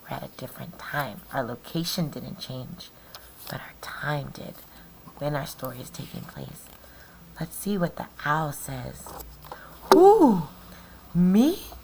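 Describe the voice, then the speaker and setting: A woman teacher doing an interactive storybook read-aloud, deliberately questioning and explaining things to young children. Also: very deep voice, whispered, poor audio.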